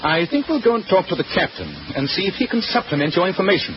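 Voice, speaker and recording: An actor playing a shrewd, incisive, dryly sardonic gentleman detective in a 1940s radio drama. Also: high-pitched, sing-song, fast. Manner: crisp, probing, dryly sardonic. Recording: muffled, noisy old radio recording.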